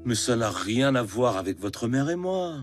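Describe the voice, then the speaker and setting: An actor voicing a hurried, good-natured father in a cartoon. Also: mid-range voice, very animated, lightly acted. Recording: music under dialogue.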